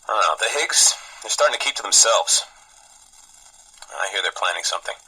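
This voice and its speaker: An actor playing a gruff, overworked reactor boss on a ship, blunt and weary, in a video game audio log. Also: male voice, on the low side, expressive, fairly quick, pushed and bright, heavily acted, low-quality recording. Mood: uneasy, complaining.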